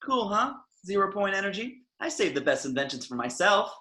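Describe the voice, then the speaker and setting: A man's voice: high voice, sing-song, a little fast, sharp tone, full character voice. A man playing a gloating young supervillain with a grudge in a script read-through, boastful and theatrical. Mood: gleeful. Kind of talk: online table read of a film script.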